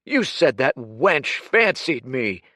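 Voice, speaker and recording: A man voicing a huge, hot-tempered young man who shouts and snarls, his voice cracking with grief. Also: mid-range voice, takes it slowly, no room sound, clean studio sound, pitched above the performer's own voice. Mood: furious.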